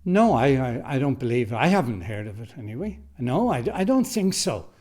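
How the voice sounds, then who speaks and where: A man's voice: medium pitch, sing-song, moderate pace, a little mellow. An Irish man who writes and directs radio drama, answering interview questions casually and emphatically, often repeating his phrases, in a recording studio.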